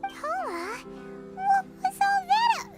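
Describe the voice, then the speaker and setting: A tiny fairy companion for a video game. The voice is extremely high, squeaky and childlike, swooping wildly with cheeky, outspoken energy over prominent music. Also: female voice, very animated, slow, full character voice. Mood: puzzled. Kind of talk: video game character acting.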